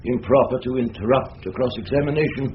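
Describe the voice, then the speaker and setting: An actor playing a stern, pedantic older English judge in a radio drama, clipped and reproving. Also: male voice, medium pitch, fast, lightly acted. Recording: dull radio recording with hiss.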